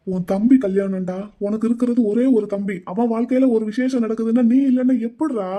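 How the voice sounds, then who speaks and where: A defensive brother-in-law, played by a man in an audio drama, caught in a family quarrel. He pleads in a high voice and talks fast. He keeps trying to explain himself. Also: dark tone, natural voice.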